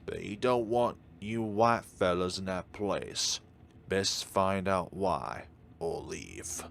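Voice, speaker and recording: Cryptic, drowsy old man, rough folksy village sage, performed by a male reader, lowish, faint noise. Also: slow pace, a bit bright, exaggerated voice. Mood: cryptic, weary.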